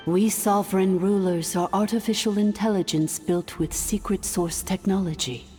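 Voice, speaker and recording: A woman playing a calm, imperious ancient dragon-born artificial intelligence in a video game, speaking formally and with measured stateliness. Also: on the low side, close to an everyday voice. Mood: composed, explanatory. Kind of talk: scripted game dialogue, solemn exposition. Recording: long hall-like reverb, music underneath.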